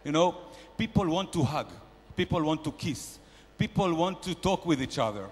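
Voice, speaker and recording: A man's voice: expressive, normal speed, husky. A man playing a brash, boastful male investor nicknamed a shark, barking bossy mock-grand business advice at a conference panel. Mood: pushy. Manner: loud, bossy, mock-grand sales pitching. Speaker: comedian performing at a panel. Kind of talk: stand-up character bit. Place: large conference hall. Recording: reverberant conference hall.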